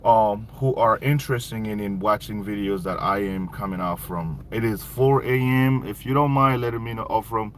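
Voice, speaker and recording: A man's voice: lowish voice, moderate pace, few pauses. A man from the Democratic Republic of Congo, chatting casually to livestream viewers and repeating phrases for emphasis. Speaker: livestream host. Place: inside a car while driving. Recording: loud car and road noise. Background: engine and traffic noise.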